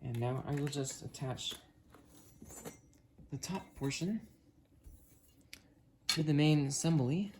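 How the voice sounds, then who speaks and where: A hobbyist talks through an unboxing in a casual, halting way. The voice sits at a medium pitch and thinks aloud between small tasks. Faint noise and clicking from handled parts accompany the speech. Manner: halting, thinking aloud while busy with hands. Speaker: hobbyist video creator. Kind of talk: casual unboxing and testing narration. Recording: noisy, with clicks and handling sounds.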